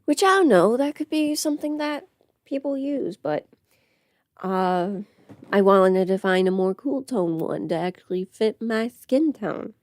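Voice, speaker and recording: A woman's voice: medium pitch, animated, a little slow, no room sound. A woman thinking aloud in a casual, rambling livestream chat as she gets ready, swearing lightly. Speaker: livestream host.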